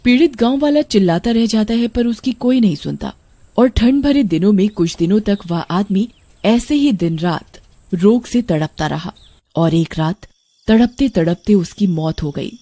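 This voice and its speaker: A woman giving scripted storytelling narration for a cartoon, in a mellow voice. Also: medium pitch, lively intonation, normal speed, dry recording.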